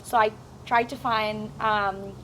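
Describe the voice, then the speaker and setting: A woman's voice: mid-range voice, slow pace, slight background noise, rough recording. Young woman telling her story. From Puerto Rico. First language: Spanish. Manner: relaxed, storytelling, with hesitant "um"s. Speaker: veterinary student. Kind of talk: casual group interview.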